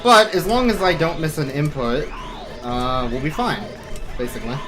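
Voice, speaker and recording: A man's voice: fairly high pitch, moderate pace, a crowd in the background. A man giving casual, joking live commentary as he speedruns a video game. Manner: wry, joking. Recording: voice under loud game music. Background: loud game music.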